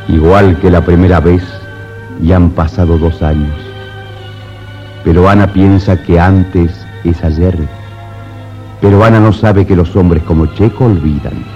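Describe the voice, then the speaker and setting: An actor narrating a romantic 1950s radio drama in a grave, poetic, theatrical manner. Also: male voice, sits low, steady tone. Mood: brooding, lyrical. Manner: theatrical, measured, declamatory. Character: solemn male narrator of a romantic radio play. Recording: muffled 1950s radio recording, loud music. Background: music.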